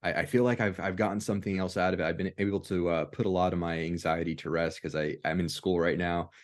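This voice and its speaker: A man hosting a casual discussion, speaking in hesitant, halting phrases with many pauses. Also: deep voice, steady tone. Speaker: discussion host.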